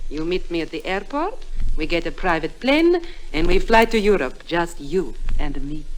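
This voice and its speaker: A woman's voice: on the low side, sing-song, moderate pace, low-quality recording. A woman playing a scheming, seductive femme fatale, pleading dramatically in 1950s radio drama style. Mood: urgent, scheming. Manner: pleading, dramatic, careful phrasing.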